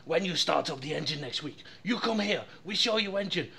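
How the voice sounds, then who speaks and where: A man's voice: fairly high pitch, lively intonation, brisk, vocal fry, bright, forceful tone, slight background noise, full character voice. A man voicing a pushy male engine supplier rep in a mock Japanese accent, in broken English, in a comedy podcast skit. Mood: boastful. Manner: broken English, hard-selling, swears.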